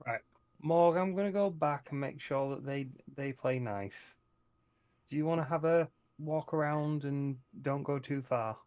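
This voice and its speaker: A man's voice: on the high side, a little slow, darkish tone, dry recording. A man talking casually as a player, describing what his character does in a roleplaying game.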